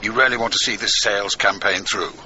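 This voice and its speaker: An actor with a British accent playing a brisk, authoritative intelligence chief, terse and formal, heard as a telephone voice in a radio drama. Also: male voice, lowish voice, fairly even intonation, sharp tone, highs cut off, slight background noise. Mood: brisk, probing.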